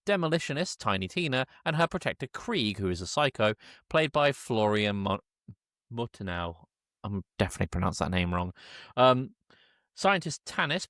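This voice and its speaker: A man recapping a film casually as a podcast co-host, halting and correcting himself, with an irreverent edge. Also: medium pitch, fairly bright tone, dry recording, clean studio sound. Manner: halting, hesitant, self-correcting delivery.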